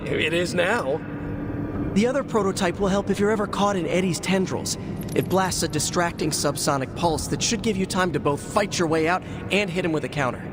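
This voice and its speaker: A man as a high-voiced eager young tinkerer hero. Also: brisk, lightly acted. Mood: amused. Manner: enthusiastic, chatty, youthful. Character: eager, friendly, geeky young male superhero inventor. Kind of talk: video game voice acting. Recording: clear background noise, outdoor-like ambience.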